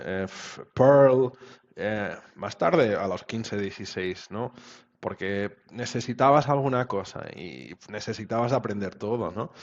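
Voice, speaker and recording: A man who is a native Portuguese speaker, talking casually and haltingly as an interview guest, often ending phrases with a questioning tag. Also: fairly low pitch, highs cut off. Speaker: interview guest, web developer.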